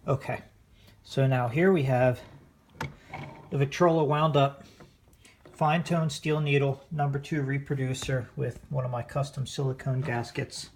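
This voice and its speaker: A man hosting a casual hobby demonstration in his living room, explaining things in a measured, hesitant way. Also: mid-range voice, steady tone, noisy background. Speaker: host, record hobbyist. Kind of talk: casual demonstration of vintage records. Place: indoors, living room at home.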